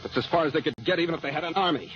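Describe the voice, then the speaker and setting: An actor playing a tough, hard-bitten, weary gang leader in a radio crime drama, terse and blunt. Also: male voice, on the high side, racing, close to an everyday voice. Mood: tense, commanding. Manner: terse, slangy, blunt commands. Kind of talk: old-time radio crime drama acting. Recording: dull, noisy old radio recording.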